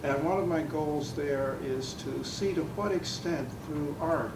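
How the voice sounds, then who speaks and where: An older man introducing himself informally to a group, with measured, formal wording. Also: a little high. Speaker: retired psychologist, workshop participant. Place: large echoey room, mic at a distance. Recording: echoey room, distant mic, loud noise.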